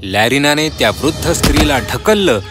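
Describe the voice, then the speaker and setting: A man's voice: fairly high pitch, animated, moderate pace, loud music behind the voice. A man narrating a children's fairy tale in a scripted storybook style.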